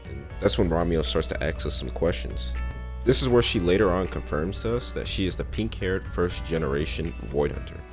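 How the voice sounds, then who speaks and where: A young man casually recapping a game's story, with slangy, joking asides. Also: extremely low pitch. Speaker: gaming content creator. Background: faint game music.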